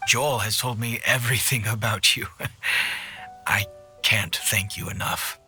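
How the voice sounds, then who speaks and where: Adult father, slightly low pitch, very bright tone, good-natured, grateful, video game. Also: steady tone, unhurried, faint music behind. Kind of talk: video game cutscene acting.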